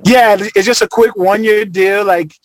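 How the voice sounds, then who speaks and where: A man with a fairly high voice chats loosely on a podcast, circling back and restarting his sentences with plenty of fillers. His voice is recorded close and dry, with no room sound. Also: a little slow, no echo. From Arizona, United States. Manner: relaxed, rambling, many fillers and restarts. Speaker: podcast guest, former football player. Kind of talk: casual podcast conversation.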